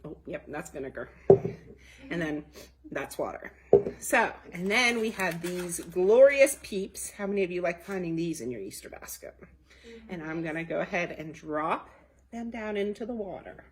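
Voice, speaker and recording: Lively woman with a slightly husky voice. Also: on the low side, normal speed. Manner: simple explanations with questions to young children. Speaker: library storytime host for children. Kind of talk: children's activity and science demonstration.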